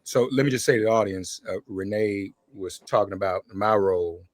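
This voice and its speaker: Man, lowish pitch, dry close sound, podcast host, conversational, halting phrases. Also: no echo. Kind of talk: conversational hosting.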